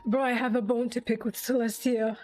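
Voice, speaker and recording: A woman's voice: a little high, flat delivery, a little fast, a little mellow, poor audio. A woman giving casual, emotionally invested reaction commentary on a game, thinking aloud and swearing freely. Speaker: game streamer. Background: faint game music.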